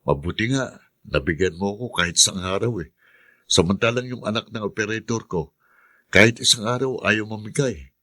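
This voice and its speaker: A man's voice: fairly low pitch, moderate pace, sharp tone, no room sound, studio quality. A man playing a kindly, struggling working father who keeps going, in a radio drama. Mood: grateful, troubled. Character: struggling, kindly tricycle-driver father, persevering.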